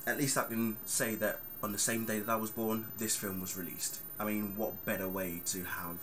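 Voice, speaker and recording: Man, 30s, very low voice, casual rambling vlog talk, audible background noise. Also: little pitch movement, moderate pace, fairly bright tone. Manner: chatty and rambling, with many fillers. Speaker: vlogger.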